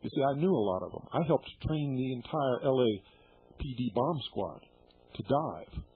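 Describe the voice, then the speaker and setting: A man's voice: medium pitch, animated, moderate pace, slightly rough, faint music behind. A middle-aged man hosting a radio show, talking bluntly and indignantly to his listeners and swearing freely. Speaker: radio host. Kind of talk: shortwave radio monologue. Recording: tinny shortwave radio broadcast.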